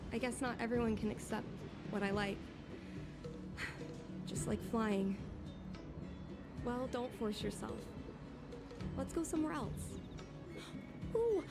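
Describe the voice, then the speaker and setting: A woman voicing a spirited young fox-woman in a video game, earnest and expressive. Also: fairly high pitch, a little slow, pauses often, over loud music. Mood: amazed, upbeat.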